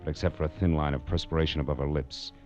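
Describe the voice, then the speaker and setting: A man's voice: very deep voice, fairly even intonation, very fast, a little mellow. An actor playing a tough, wry private detective in a 1940s radio drama, clipped and hard-boiled as he tells his case. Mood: grim narrating. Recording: dull old radio recording, music behind.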